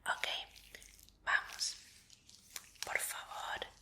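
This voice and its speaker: Slow whispering woman, very bright and breathy. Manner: polite, formal, reassuring. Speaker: ASMR roleplayer playing a medical examiner. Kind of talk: ASMR roleplay, whispered.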